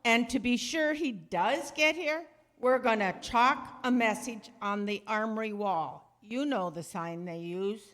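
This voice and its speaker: In a reverberant hall, a tough racketeer boss acted by a woman talks in a high voice. The delivery is bossy, scornful and slangy, straight out of a scheming 1930s gangster radio play. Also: measured pace, few pauses, fairly bright tone.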